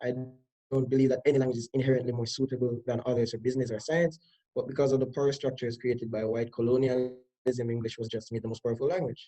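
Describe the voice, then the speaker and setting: A man speaks as a teacher on a scripted panel, his measured lines clearly read from a page. His voice is mellow and he talks fast. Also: mid-range voice, little pitch movement, rough recording.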